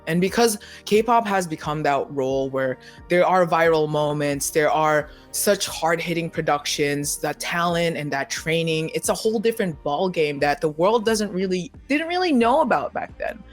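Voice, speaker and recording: Lively young journalist over faint music. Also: medium pitch. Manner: fast, animated, many fillers like "like" and "you know". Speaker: multimedia journalist. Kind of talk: panel interview answer. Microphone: video call.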